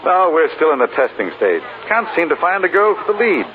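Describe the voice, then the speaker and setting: A man's voice: on the high side, very animated, a little fast, a crowd in the background. An actor playing a charming, world-weary male film star with self-mocking wit in a 1940s radio drama. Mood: wry banter. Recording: tinny 1940s radio recording, loud music behind.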